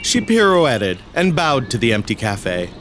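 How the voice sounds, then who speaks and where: A man's voice: medium pitch, sing-song, unhurried, noisy background. A man narrating a scripted audio story.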